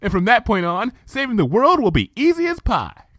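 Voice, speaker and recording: A man's voice: high-pitched, wildly swooping pitch, a little fast, a bit bright, no echo, studio quality, voice pushed up, full character voice. A man voicing an earnest, bookish young female unicorn scholar in a fan fiction reading, talking eagerly and chattily.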